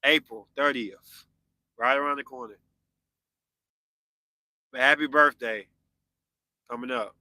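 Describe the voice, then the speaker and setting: A man in his forties hosts a live podcast and talks casually with his viewers. His voice is very bright and cutting. The sound is close and dry. He answers their comments in a loose, conversational way. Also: medium pitch, takes it slowly, pauses often, no room sound. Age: 40s. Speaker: podcast host.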